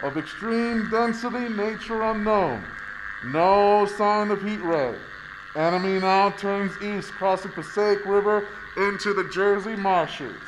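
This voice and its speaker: Radio play bomber lieutenant, male actor: resolute, clipped delivery, high pitch, slight background noise. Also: slow pace. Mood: tense, businesslike. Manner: clipped military radio report. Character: resolute male army bomber pilot reporting from his cockpit. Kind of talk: 1930s radio play acting.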